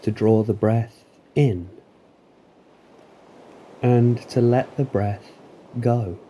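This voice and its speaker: A man narrating a guided meditation in a calm, deliberate, soothing manner with long pauses. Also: mid-range voice, a little hiss or hum. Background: waves.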